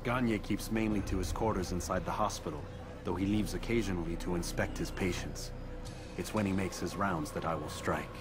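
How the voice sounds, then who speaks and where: An actor playing a resolute medieval assassin, speaking in a serious, formal manner in a video game. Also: male voice, deep voice, steady tone, brisk, natural voice. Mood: grave, resolute. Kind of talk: dramatic video game cutscene acting. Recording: music behind the voice.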